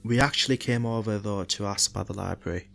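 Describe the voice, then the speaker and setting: A man playing an earnest, polite detective sidekick in a podcast drama, asking questions in a measured way. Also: lowish voice, gravelly, fairly bright tone, soft background music. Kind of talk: podcast audio drama acting.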